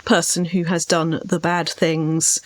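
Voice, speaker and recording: A woman's voice: sits low, little pitch movement, slow. A woman co-hosting a discussion of writing craft, explaining points in articulate, emphatic phrases. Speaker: podcast co-host, writing coach.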